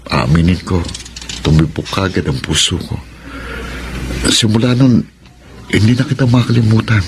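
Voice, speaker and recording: A middle-aged Filipino man with a very deep voice speaks as a devoted, romantic suitor , acted by a man for radio drama. He is earnest and emphatic, with a slightly noisy background. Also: natural voice.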